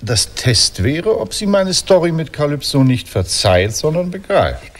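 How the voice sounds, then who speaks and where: An actor playing a sentimental aging Greek hero in a radio play, with a hesitant, poetic, declamatory delivery. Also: male voice, on the high side, big pitch swings, measured pace, exaggerated voice. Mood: earnest. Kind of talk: theatrical German radio drama acting.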